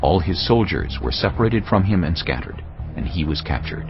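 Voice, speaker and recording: A man reading scripture as a dramatised audio Bible narration, solemn and measured in his storytelling. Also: sits low, animated. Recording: slightly dull audio under background music. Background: background music.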